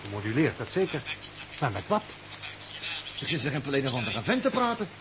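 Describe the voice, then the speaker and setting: A man's voice: mid-range voice, sing-song, moderate pace, background noise, lightly acted. Actor as muttering, baffled male spaceship crewman. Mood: intrigued. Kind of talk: 1960s Dutch radio drama acting.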